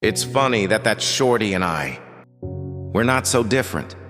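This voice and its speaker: A man's voice: on the low side, lively intonation, measured pace, fairly bright tone. A man voicing a brooding, wounded villain in a scripted comic dub. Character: brooding male villain, wounded by his family. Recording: long reverb with music underneath. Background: background music.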